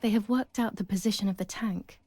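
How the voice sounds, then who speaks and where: An actress playing a young woman in wartime, pensive and uneasy, quietly thinking aloud in a first-person game monologue. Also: mid-range voice, brisk, background noise, close to an everyday voice.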